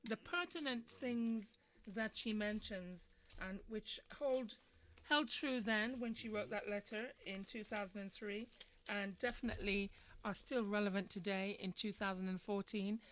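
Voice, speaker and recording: A woman's voice: medium pitch, a little slow, a bit bright. A woman hosting a live radio discussion, speaking in a conversational, opinionated way and putting questions to her listeners. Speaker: radio show host.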